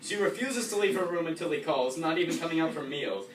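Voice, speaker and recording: A man's voice: fairly high pitch, lively intonation, fast. A man delivering scripted comedic narration as a reader's theatre performer. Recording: noisy echoey room, distant mic.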